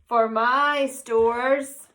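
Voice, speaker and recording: Greedy hoarder squirrel, male, woman reader performing: stubborn, emphatic, slow and blustery picture-book delivery. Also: a little high, steady tone, a bit bright. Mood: defiant bluster.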